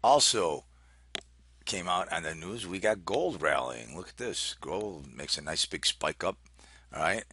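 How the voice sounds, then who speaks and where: A man giving informal, unscripted market commentary as an analyst, talking haltingly as he thinks aloud and reads out numbers. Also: sits low, lively intonation, bright, forceful tone, husky, dry recording. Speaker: market analyst hosting a trading briefing.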